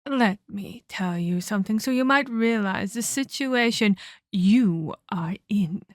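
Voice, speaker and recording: A woman's voice: medium pitch, unhurried, no echo, studio quality, lightly acted. A woman playing a cruel, domineering ancient vampire in a dramatic ASMR roleplay, with a menacing, condescending, theatrical delivery and whispered emphasis. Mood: menacing, possessive. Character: cruel, domineering ancient female vampire scolding her captive pupil.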